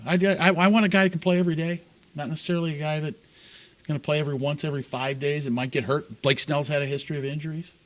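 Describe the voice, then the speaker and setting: A man's voice: fairly high pitch, steady tone, very fast. A man co-hosting a sports talk show, chatting loosely and laughing between points. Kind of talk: casual sports chat.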